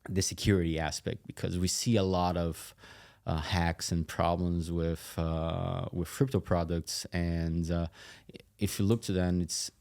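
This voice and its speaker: A man in his thirties giving casual interview answers as a tech executive, halting and thinking aloud as he goes. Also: sits low, flat delivery, vocal fry, darkish tone. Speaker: tech company chief executive and co-founder, podcast guest.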